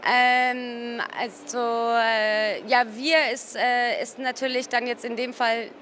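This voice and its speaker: A woman from Cologne speaking informally as a gallerist in an interview, often pausing mid-sentence to search for her words. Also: a little high, little pitch movement, fairly bright tone. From Cologne, Germany. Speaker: gallerist presenting artworks. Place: busy exhibition hall at an art fair. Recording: noisy art fair ambience. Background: ambient fair noise.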